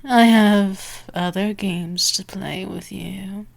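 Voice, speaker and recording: A woman playing a dominant, predatory female vampire in an ASMR roleplay, taunting and sultry, often slipping into breathy whispers. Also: medium pitch, fairly even intonation, takes it slowly. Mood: scornful, mocking. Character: dominant, teasing, predatory female vampire stalking her prey.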